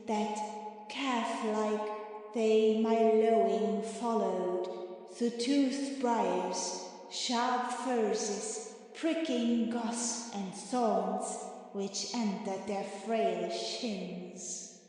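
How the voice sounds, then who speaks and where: Echoing, very slow airy spirit played by a woman. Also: medium pitch, warm tone. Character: airy, obedient spirit servant, mischievous. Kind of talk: dramatic Shakespearean audiobook reading. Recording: long cavernous reverb on voice.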